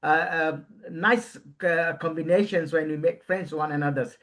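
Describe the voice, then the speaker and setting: A man from Bangladesh, hosting a casual podcast interview and often pausing to search for words. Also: a little high, steady tone, fairly bright tone. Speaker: podcast host.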